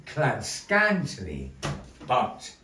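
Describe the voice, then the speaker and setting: Male storyteller for a puppet show, slow and expressive, picked up from a distance in a reverberant gallery. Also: medium pitch. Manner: slow, theatrical storyteller with lively rises and falls. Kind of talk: storytelling narration to a live audience. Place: gallery space. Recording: echoey gallery room, voice from afar, faint noise.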